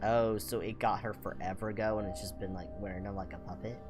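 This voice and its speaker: A game streamer reading visual novel narration aloud with expressive, playful asides. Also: sits low, fast talker, music in the background. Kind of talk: playthrough commentary and read-aloud narration.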